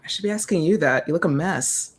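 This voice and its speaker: A man reading the part of a wry, guarded woman with a seductive edge at a film script table read, dry and clipped, with a creaky voice. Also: on the high side, animated, brisk. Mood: coolly seductive.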